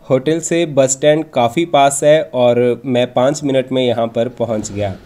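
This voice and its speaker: A man's voice: mid-range voice, moderate pace, dark tone, soft background music. A man narrating a travel vlog from a script, explaining things in an informative, orderly way. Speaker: travel vlogger and host.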